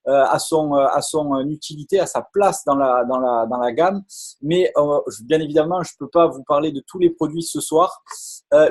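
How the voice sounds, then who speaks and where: Enthusiastic man pitching, slightly husky. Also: a little mellow. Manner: enthusiastic salesy, many hesitations and repeated words. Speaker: online sales presenter. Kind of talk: live webinar sales pitch.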